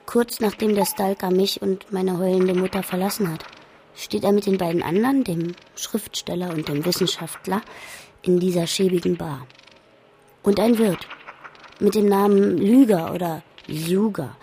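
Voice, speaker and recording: A woman's voice: fairly low pitch, steady tone, normal speed, warm tone, a little hiss or hum, natural voice. An actress playing a stalker's daughter who narrates her memories in a wry, puzzled way, in a radio drama monologue.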